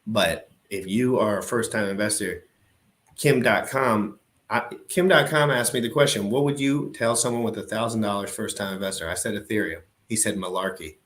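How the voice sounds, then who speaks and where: A man giving brash, profane, excitable commentary while reacting to an old crypto video. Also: lowish voice.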